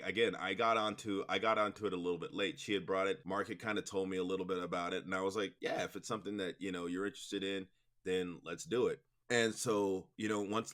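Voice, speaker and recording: A man's voice: deep voice, a bit bright. A man answering interview questions as a guest producer, in a rambling, explanatory, conversational way peppered with "you know". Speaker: interview guest, audio drama producer and director.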